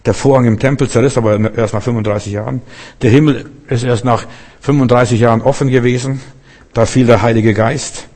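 A man's voice: medium pitch, fairly even intonation, a little fast, a little mellow. A man preaching a Christmas sermon in a church hall, fervent and direct, often repeating himself and questioning his listeners. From Berlin. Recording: long hall reverb.

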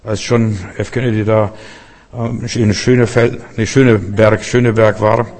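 A man's voice: on the low side, level tone, unhurried, a little mellow. A man preaching a Christmas sermon in a church hall, fervent and direct, often repeating himself and questioning his listeners. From Berlin. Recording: long hall reverb.

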